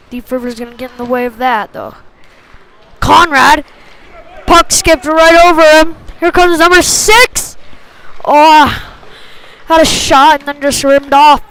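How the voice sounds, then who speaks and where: A woman giving live hockey play-by-play in short clipped phrases, excitable on big plays. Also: high-pitched, poor audio. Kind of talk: live sports commentary.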